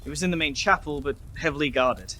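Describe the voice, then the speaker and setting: A man's voice: fairly high pitch, fast, pushed and bright, a little hiss or hum. A man playing a fussy, talkative storytelling companion in a video game, nervous and prone to stammering explanations. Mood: explaining. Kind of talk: video game character acting.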